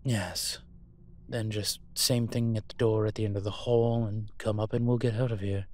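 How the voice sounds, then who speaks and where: A man playing a suave, teasing demon professor in an audio roleplay, with a wry, conversational delivery and a husky voice. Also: fairly low pitch, flat delivery, normal speed, a little mellow, a little hiss or hum, lightly acted. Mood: calm, instructing. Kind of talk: intimate audio roleplay acting.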